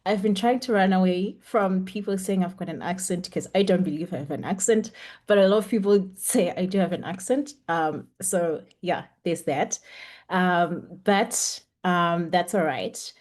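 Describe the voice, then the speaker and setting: A woman's voice: fairly low pitch, moderate pace, a little mellow. A young woman teaching a webinar over a video call, speaking in a chatty, encouraging way with frequent ums. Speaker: webinar instructor. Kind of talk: online lecture. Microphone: computer mic on a video call.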